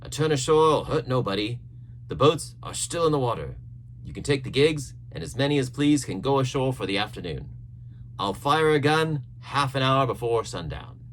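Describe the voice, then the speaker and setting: A man voicing a blunt, resolute ship's captain in an adventure storybook, speaking briskly and formally. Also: medium pitch, lively intonation, fairly bright tone, a little hiss or hum, voice pushed up, close to an everyday voice. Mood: hearty, commanding. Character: blunt, resolute male ship's captain planning against mutiny.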